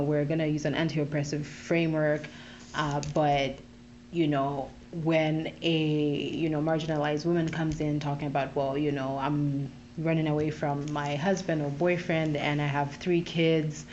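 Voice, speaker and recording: A woman from Zambia, a podcast guest who works with youth, talking in a thoughtful, searching way with many pauses and restarts. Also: low-pitched, little pitch movement, a little mellow, lo-fi sound, a little hiss or hum. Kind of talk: casual interview talk.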